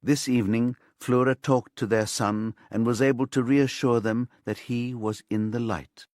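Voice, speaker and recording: A man reading a crime thriller audiobook as scripted narration in a measured, storytelling way. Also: medium pitch, fairly even intonation, darkish tone, no room sound, clean studio sound.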